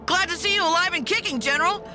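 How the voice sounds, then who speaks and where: A woman playing a brisk, sardonic army officer in a video game, with crisp, dryly sarcastic military delivery. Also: extremely high pitch. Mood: brisk, alert. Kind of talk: scripted video game mission dialogue. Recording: music behind the voice.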